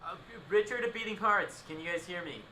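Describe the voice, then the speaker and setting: A man's voice: high voice, fairly quick, pushed and bright. A man acting in a radio play as an exasperated, condescending witness to a tech disaster. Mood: urgent. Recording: echoey, clearly noisy location recording.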